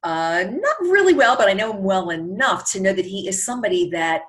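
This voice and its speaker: A woman's voice: medium pitch, very animated, a little fast, a bit bright. A woman hosting an interview show in an upbeat, effusive, gushing way. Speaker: talk show host. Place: online video call.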